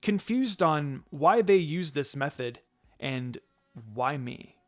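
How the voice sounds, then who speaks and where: A man's voice: fairly high pitch, animated, takes it slowly, soft background music. A man reading a first-person fan fiction story as scripted narration.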